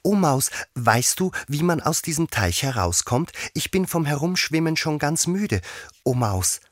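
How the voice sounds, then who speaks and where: A man's voice: a little high, big pitch swings, a little slow, no room sound, studio quality, voice pushed up. A man voicing an eager, polite young girl in a children's fairy tale, earnest and gushing. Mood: polite pleading.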